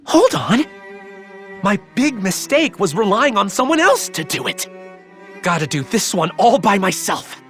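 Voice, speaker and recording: A young male demon schemer, speaks in a bright, tense voice that swings widely in pitch. He is dramatic and self-absorbed, an excitable anime game villain. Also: unhurried, soft background music, exaggerated voice. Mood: grim resolve. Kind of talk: English anime game dub acting.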